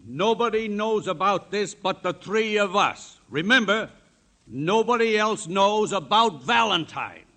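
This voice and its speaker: A pompous male banker from a 1950s radio play. His voice sits a little high, and he is domineering and decisive, speaking like the man in charge. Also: lively intonation, measured pace, pushed and bright, a little hiss or hum, exaggerated voice. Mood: commanding. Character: bossy, pompous male banker civic leader.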